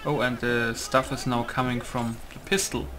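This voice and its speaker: A man's voice: medium pitch, flat delivery, moderate pace, a crowd in the background. A man giving casual gameplay commentary, musing aloud and often asking himself questions. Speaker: gaming video host. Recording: music playing behind the voice. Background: music.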